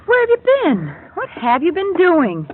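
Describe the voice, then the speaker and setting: An actress playing a bubbly, affectionate, fussing companion with excitable delivery, in a 1930s radio drama. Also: female voice, very high voice, measured pace, full character voice. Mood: fussing, exasperated. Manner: excitable, exclaiming. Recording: noisy tinny old radio.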